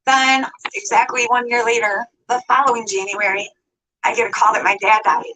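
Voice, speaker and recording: A woman's voice: fairly high pitch, little pitch movement, moderate pace, bright, forceful tone, poor audio. A woman telling a long personal story, rambling and adding details as she goes. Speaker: vlogger telling her story.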